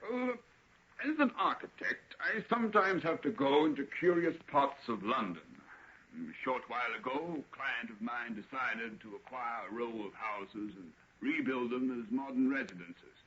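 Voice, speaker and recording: An actor playing an affable, chatty gentleman architect in an old radio drama, in a genteel, conversational manner. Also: medium pitch, expressive, normal speed. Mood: chatty, earnest. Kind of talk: 1940s radio acting. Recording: noisy, dull old radio recording.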